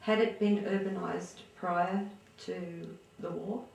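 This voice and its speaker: A woman's voice: fairly low pitch, steady tone, slow pace, gravelly, a little mellow. A woman interviewing an older man and prompting him with short questions. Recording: very echoey room, distant mic.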